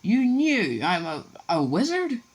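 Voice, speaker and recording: A man's voice: high voice, huge pitch swings, takes it slowly, voice pushed up, full character voice. A man voicing a bewildered, earnest eleven-year-old boy who stammers out questions. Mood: stunned.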